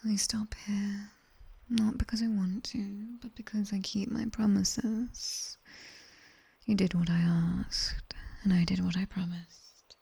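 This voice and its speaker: A British woman playing a strict, teasing, seductive demoness tutor in an intimate ASMR roleplay, with breathy whispers and a condescending yet coaxing delivery, close on a binaural mic. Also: mid-range voice, warm tone, exaggerated voice. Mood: teasing, approving. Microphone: close binaural microphone.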